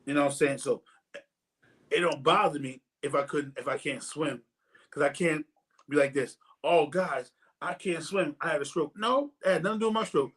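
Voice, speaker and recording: A man's voice: fairly high pitch, normal speed, fairly bright tone, slightly rough. A man in his forties hosting a casual podcast chat, rambling and repeating words as he works toward his point. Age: 40s. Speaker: podcast host.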